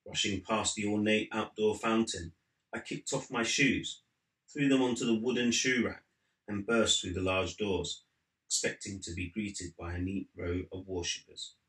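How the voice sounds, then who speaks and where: A man in his forties, an author reading from his own book as measured literary narration in a living room. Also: fairly low pitch, fairly bright tone. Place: living room at home, seated in an armchair. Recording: slightly roomy indoor sound.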